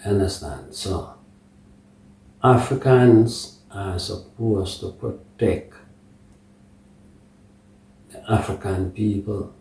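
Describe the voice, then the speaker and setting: A man with a Jamaican accent giving an unscripted political monologue in patois-tinged phrasing, deliberate and halting, often checking that the listener follows. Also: fairly low pitch, gravelly, a little mellow, slight background noise. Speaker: political commentator. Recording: echoey room, distant microphone.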